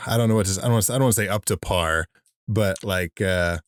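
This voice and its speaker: Male podcast co-host, low voice, dry close sound, rambling storyteller who laughs along. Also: fast, dry recording. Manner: rambling with many fillers and restarts, laughs while telling stories. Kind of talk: casual chat.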